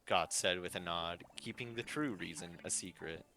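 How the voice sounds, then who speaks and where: A man with a fairly low voice reads a fan-fiction story as narrator and host. Faint music plays behind him. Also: normal speed, pushed and bright.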